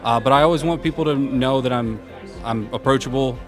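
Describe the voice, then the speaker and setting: A man with a loud, carrying voice answering interview questions in an informal, upbeat, self-effacing way as a senior program manager. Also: medium pitch, fairly quick. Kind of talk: casual conversational interview answers. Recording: music playing behind the voice.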